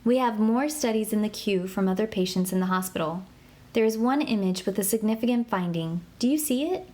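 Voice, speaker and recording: Woman narrating clearly over steady noise. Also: mid-range voice, a little fast. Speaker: narrator of an interactive medical app for kids. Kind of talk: scripted instructional narration.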